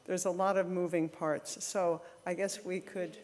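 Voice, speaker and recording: A woman leading a church service, speaking informally and a little haltingly to the congregation. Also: on the low side, little pitch movement, darkish tone. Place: church sanctuary, indoors. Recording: echoey hall with long reverb.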